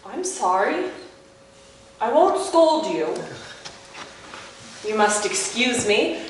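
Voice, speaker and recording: An actress playing a proud, romantic, high-spirited young lady in a live stage comedy, theatrical, exclamatory and teasing. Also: sing-song, drawn-out words, full character voice. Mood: proud, offended. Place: indoor stage in an arts center, recorded from afar. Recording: distant, very echoey theater recording, faint noise.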